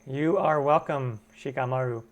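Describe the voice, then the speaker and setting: A man's voice: medium pitch, moderate pace. A man hosting a casual live stream Q&A for his cooking channel, greeting viewers and answering chat comments, recorded from home on a computer webcam mic. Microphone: computer webcam microphone.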